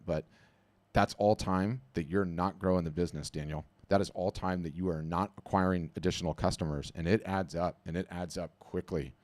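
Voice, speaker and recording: A man's voice: deep voice, darkish tone, dry recording. A middle-aged man hosting a live business webinar, talking emphatically and hammering points home with repetition. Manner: emphatic, preachy, repeats phrases for punch.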